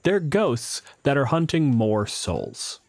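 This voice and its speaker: A man's voice: medium pitch, very animated, slow pace, no room sound. A man narrating a tabletop game as game master in a casual, improvised, wry and conversational way, with some swearing.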